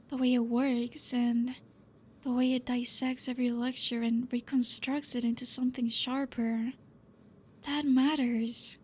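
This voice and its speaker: A woman voicing a gentle, possessive female vampire professor in an ASMR roleplay, talking softly and coaxingly and slipping into whispers. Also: a little high, slightly rough. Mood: reassuring. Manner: soft, slow and coaxing, drifting into whispers.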